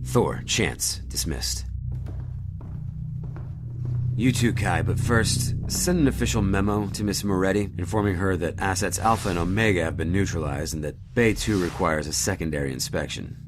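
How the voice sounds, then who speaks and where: An actor playing a stern, authoritarian spaceship captain in an audio drama, cold, commanding and menacing in his deliberate delivery. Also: deep voice, music in the background, natural voice. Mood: brisk, commanding. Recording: clear background noise, spaceship ambience.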